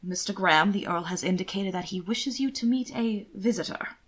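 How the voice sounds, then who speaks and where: A woman voicing a stern, formal household servant in an audio story, speaking in a quiet, clipped way. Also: mid-range voice, a little fast, slightly rough, natural voice. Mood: quietly frowning.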